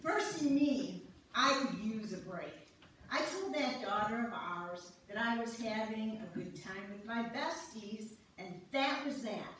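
A woman playing an excitable, folksy older woman in amateur reader's theater, nudging her friends. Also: a little high, measured pace. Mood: eager, persuasive. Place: small church, recorded from audience pews. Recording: echoey hall, far off, noisy.

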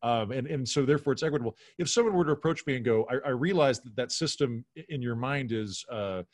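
A man's voice: mid-range voice, quick pace, dry recording, clean studio sound. A middle-aged American man chatting casually and giving his opinions as a podcast guest on a video call. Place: home room on a video call.

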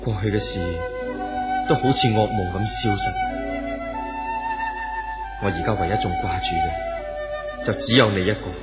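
A lovelorn man speaks a farewell letter in earnest, devoted tones with a Hong Kong Cantonese accent. His voice is on the low side and heavily echoed, with music playing clearly beneath. It is a 1970s radio drama monologue. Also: wildly swooping pitch, moderate pace, pauses often. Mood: wistful, confessional.

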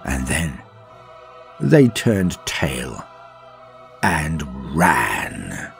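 A man narrating a scripted story in a dramatic, grave, measured manner with pauses for effect, his voice husky. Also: a bass voice, huge pitch swings. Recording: music behind the voice. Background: background music.

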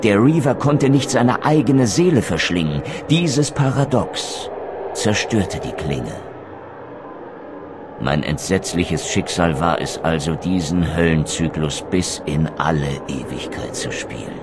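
Very deep, brooding undead vampire. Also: male voice, big pitch swings, background noise, full character voice. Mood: anguished revelation. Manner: solemn, deliberate, brooding. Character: grim undead vampire wraith, vengeful and brooding. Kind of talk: dramatic German game narration and dialogue. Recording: music behind.

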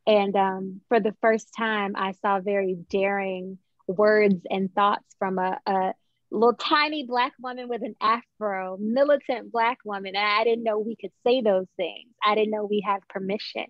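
A woman's voice: medium pitch, steady tone, measured pace, no room sound. Young woman, guest writer, reflective storyteller, thoughtful phrasing, dry and slightly dull call audio. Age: young adult. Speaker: guest playwright and poet. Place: small room at home, on a video call.

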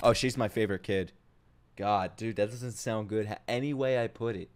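A man doing casual live-stream reaction commentary to game trailers, excitable, slangy and profane. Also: on the low side, normal speed. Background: game trailer music.

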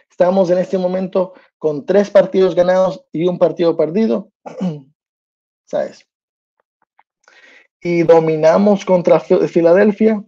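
A man's voice: sits high, dark tone, lo-fi sound. A man in his thirties from New Jersey, hosting a solo sports podcast in a loose, chatty way and slipping English slang into his talk. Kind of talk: casual solo sports commentary. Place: small room indoors.

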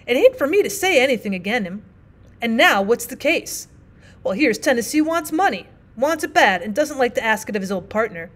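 A woman voicing a rough, earnest middle-aged frontier miner with a rustic Old West drawl, rambling in folksy dialect. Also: on the high side, big pitch swings, a little fast. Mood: earnest pleading. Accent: put-on rustic Old West drawl.

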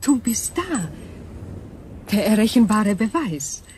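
An actress playing an ancient alien crystal being in a radio play, calm and coolly logical, speaking in measured, formal phrases. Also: female voice, medium pitch, a little hiss or hum. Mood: detached, explanatory. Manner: measured, formal, precise diction. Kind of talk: German radio drama acting.

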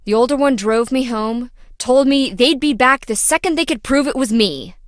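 A woman's voice: high voice, normal speed, no room sound, studio quality, lightly acted. A woman playing a quirky, dramatic young female lab worker in a video game, chatty, wry and theatrical. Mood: subdued, troubled.

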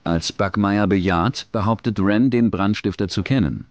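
Low-voiced male documentary narrator. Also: moderate pace, no room sound, highs cut off. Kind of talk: scripted true-crime narration.

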